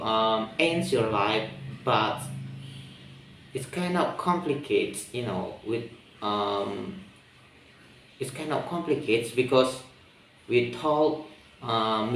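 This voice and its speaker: A man with an Indonesian accent, speaking English as a second language, talking slowly and haltingly, searching for words with many pauses and ums. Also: mid-range voice, a little hiss or hum. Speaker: vlogger practicing spoken English. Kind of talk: reflective solo monologue. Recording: roomy echo, mic at a distance.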